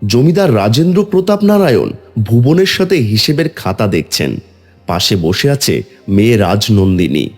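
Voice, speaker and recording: A man's voice: medium pitch, sing-song, normal speed, darkish tone, soft background music. A man delivering scripted dramatic narration as the narrator of a tale.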